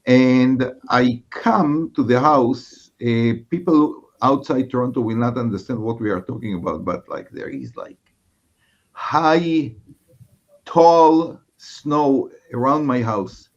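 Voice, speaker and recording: An Israeli man, a native Hebrew speaker, talks as a guest rabbi in reflective conversation. His accent is Israeli and his pitch is average for a man. He speaks haltingly, feeling for each word, on slightly dull, muffled audio. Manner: halting, searching for words.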